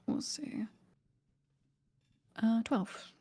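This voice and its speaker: A woman playing a tabletop game, chatting casually and hesitantly as she thinks her choices out loud. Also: very slow.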